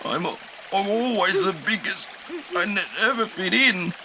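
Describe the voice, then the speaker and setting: A man voicing a big, tall, gentle male dinosaur who speaks softly. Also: high voice, moderate pace, noisy background, pitched above the performer's own voice. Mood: sobbing.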